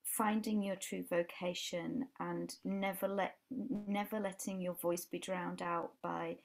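A woman's voice: lowish voice, level tone, slow pace, creaky. A woman in her forties with a Yorkshire accent, a life coach guest chatting earnestly on a video call from home, often restarting her sentences. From England. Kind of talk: casual podcast chat. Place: home room with brick wall.

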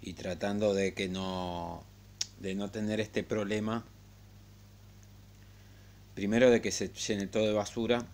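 Low-voiced man, halting game commentary. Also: level tone, darkish tone. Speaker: gaming tutorial host. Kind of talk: casual unscripted game tutorial commentary. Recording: clear background noise and hum.

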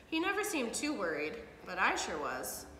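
A middle-aged woman reading a picture book aloud to children with expressive, animated storytelling, recorded in a living room by a camera a few feet away. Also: mid-range voice, normal speed. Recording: echoey hard-walled room, some background noise.

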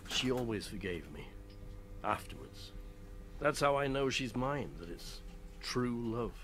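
A man's voice: medium pitch, sing-song, unhurried, noisy background. A man in a radio play acting a jealous, fatalistic and unhinged miner with an intense, obsessive manner. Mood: brooding, fatalistic.

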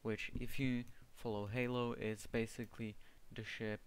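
A man's voice: mid-range voice, little pitch movement, measured pace, smooth. A young man from the Czech Republic with a strong Czech accent, casually commenting on his gameplay and often stopping to search for words. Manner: hesitant, restarts words, searches for terms. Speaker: gaming video creator. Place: home setup with gaming PC.

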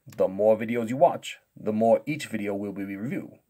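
A man playing a patient, soft-spoken teacher with a gentle, unhurried manner in a kids' multiplication skit. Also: on the low side, natural voice. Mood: patient, reassuring.

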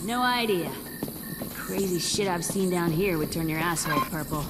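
An actress playing a tough, foul-mouthed military operative in a video game, curt, commanding and dry. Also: female voice, lowish voice, moderate pace, heavy background noise, lightly acted. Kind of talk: video game character acting. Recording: loud music behind the voice.

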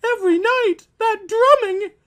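A man's voice: extremely high pitch, measured pace, bright, forceful tone, pitched above the performer's own voice, full character voice. A man voicing a distraught, melodramatic young wife in a horror comic reading. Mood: hysterical.

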